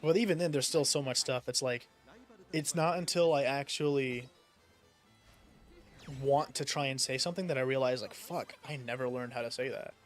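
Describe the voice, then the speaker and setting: A man's voice: medium pitch, moderate pace, soft background music. A young man from the United States chatting casually while gaming, rambling with lots of fillers and casual swearing. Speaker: streamer.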